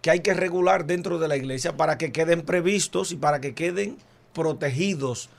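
A male priest calmly explaining church law as a guest on a talk show, step by step. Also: on the high side, moderate pace, creaky.

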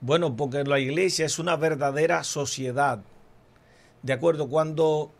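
Brief: male priest guest, gravelly and slightly high, measured explainer. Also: steady tone. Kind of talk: explanatory talk.

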